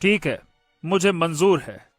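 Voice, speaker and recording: A man playing a gallant young prince in an animated fairy tale, speaking earnestly and politely. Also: a little high, takes it slowly, no room sound, faint music behind. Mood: earnest, courteous. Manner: earnest, polite, stammers when startled.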